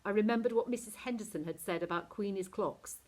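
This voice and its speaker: A woman narrating a children's novel aloud in an expressive, animated teacher's reading style. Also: medium pitch, brisk.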